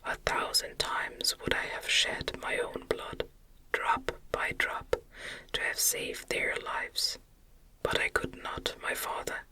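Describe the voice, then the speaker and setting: A woman voicing an anguished, guilt-ridden young man in an impassioned breathy whisper, in a storybook reading. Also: slow, close to an everyday voice. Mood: desperate insistence.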